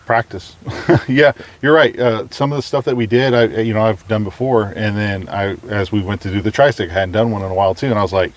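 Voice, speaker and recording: A man from California, a course participant reflecting haltingly on practice. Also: lowish voice.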